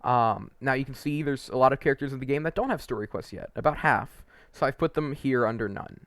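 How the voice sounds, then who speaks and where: A man's voice: mid-range voice, a little fast, fairly bright tone, no room sound. A man giving casual, opinionated video game commentary as a host, conversational and a little hesitant, wandering into asides.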